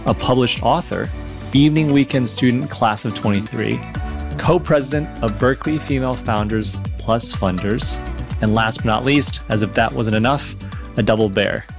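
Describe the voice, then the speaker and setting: A man's voice: mid-range voice, moderate pace, gravelly, music in the background. A man hosting a podcast, asking questions with enthusiasm. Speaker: podcast host. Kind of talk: podcast interview.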